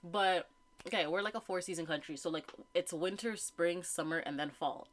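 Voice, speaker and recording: A woman's voice: on the low side, normal speed, slight background noise. A young woman from Canada co-hosting a podcast, chatting casually and animatedly and often saying "like". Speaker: podcast co-host. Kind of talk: casual chat.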